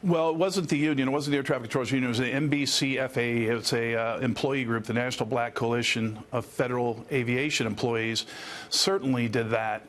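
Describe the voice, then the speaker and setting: A man's voice: mid-range voice, steady tone, fairly quick, a bit bright. A man speaking as an expert guest in a news interview, explaining in earnest detail with formal, legal phrasing. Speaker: attorney and former air traffic controller, interview guest.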